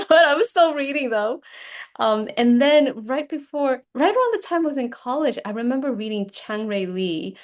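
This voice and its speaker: A woman hosting an interview, born in Korea with Korean as her first language, talking in a lively way and often laughing. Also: sits high, normal speed. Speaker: podcast host, executive coach. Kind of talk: conversational interview.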